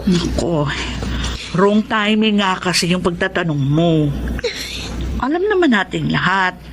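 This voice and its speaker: A woman's voice: on the low side, expressive, measured pace. A woman playing a protective, hardworking sister and aunt in a Tagalog radio drama. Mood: concerned, reassuring. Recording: noisy drama track with background ambience.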